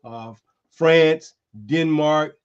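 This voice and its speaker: A man hosting a streamed show, chatting loosely and calling people "man" as he pitches merchandise. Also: drawn-out words, clean tone, muffled recording. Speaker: host. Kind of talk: casual chat and merchandise pitch. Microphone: streaming setup.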